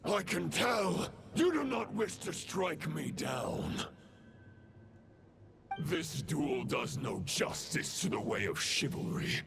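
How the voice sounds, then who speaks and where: An actor playing a grim, ancient fallen knight who serves dark powers, declaiming self-righteously in an archaic, pompous manner for a video game. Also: male voice, on the high side, lively intonation, slow pace, vocal fry, full character voice. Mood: menacing, righteous. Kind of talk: dramatic video game voice acting. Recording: music under the voice. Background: game music.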